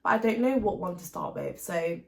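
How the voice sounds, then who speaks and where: A woman's voice: fairly low pitch, lively intonation, a little fast, gravelly, roomy sound. A British woman talking casually and chattily as a book vlogger, informal and given to emphatic repetition. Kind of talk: casual chat to camera about reading plans.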